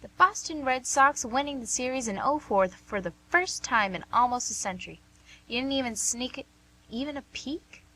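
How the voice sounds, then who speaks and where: An actress with an American accent playing a young, inquisitive woman who gently probes in a conversational way, in a radio play. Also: high voice, expressive, moderate pace, slight background noise, poor audio. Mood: incredulous, teasing. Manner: questioning, conversational. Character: young, inquisitive, gently probing female listener.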